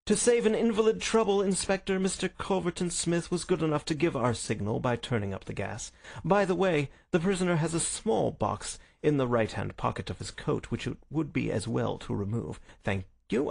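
Storybook detective, played by a male reader: self-assured, wry, theatrical, precise diction, husky and creaky. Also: fairly high pitch, expressive, moderate pace, voice pushed up. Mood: brisk, satisfied. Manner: precise, lofty, courteous. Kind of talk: dramatised audiobook reading.

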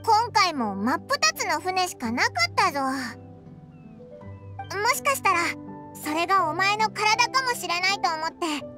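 Very high, childlike fairy sidekick. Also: female voice, big pitch swings, unhurried, heavily acted. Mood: matter-of-fact. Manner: boyish, casual blunt speech. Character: small childlike floating fairy companion, perky and blunt. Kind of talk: Japanese game dub dialogue. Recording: music under the dialogue.

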